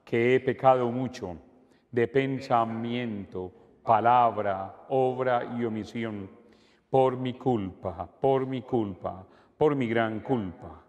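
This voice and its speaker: An older man, a priest, celebrating Mass at a church altar in calm, measured liturgical prayer. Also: medium pitch, lo-fi sound. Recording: long church reverb.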